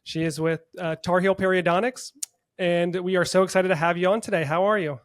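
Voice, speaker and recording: A man's voice: sits high, steady tone, brisk, no echo, studio quality. A man hosting a casual interview podcast in an upbeat, enthusiastic manner. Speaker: podcast host; orthodontist.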